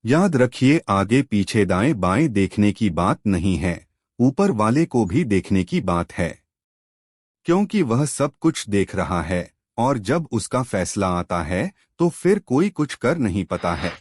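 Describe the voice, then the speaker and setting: A man telling a moral story in an unhurried, expressive way. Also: fairly low pitch, dry recording, studio quality.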